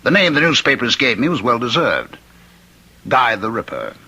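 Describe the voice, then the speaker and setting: An actor in a radio drama playing a cultured, eerily courteous condemned murderer who was once a professor, speaking in deliberate, theatrical phrases. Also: male voice, medium pitch, sing-song, pushed and bright, breathy edge, heavily acted, low-quality recording. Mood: polite, eerie calm.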